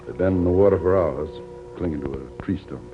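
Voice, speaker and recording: A muffled vintage radio recording with music clearly behind. a steady frontiersman, a grave rescuer, delivered in dramatic 1950s radio style. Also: very deep voice, a little fast. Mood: grim.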